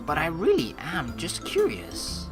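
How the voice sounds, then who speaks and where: A young woman doing let's play commentary, reading game text aloud in an animated, playful, blunt and casual way. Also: on the low side, a little slow, music in the background.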